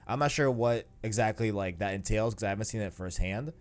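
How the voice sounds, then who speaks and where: A man's voice: lowish voice, fairly quick. A man hosting a podcast, chatting casually about video games in slangy speech and often repeating himself as he agrees. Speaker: podcast host.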